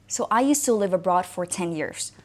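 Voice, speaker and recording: A woman's voice: lowish voice, lively intonation, moderate pace. A woman in her thirties from Indonesia, whose first language is Indonesian, speaking as an English coach who tells her own story to the camera in a reflective, conversational way. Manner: conversational, reflective, frequent "you know" and "like". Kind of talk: personal talk to camera.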